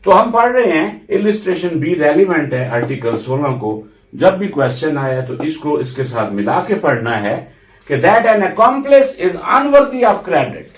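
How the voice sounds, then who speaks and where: A man giving an explanatory law lecture, emphatic and deliberate, restating his points as he goes. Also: a little high, very animated, reverberant, rough recording. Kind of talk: explanatory lecture mixing Urdu with English legal terms.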